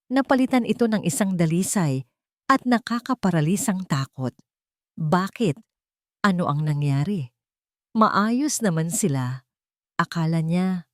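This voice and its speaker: A woman's voice: on the low side, no room sound, studio quality. A woman reading a scripted story as a dramatic narrator. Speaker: narrator of romance stories.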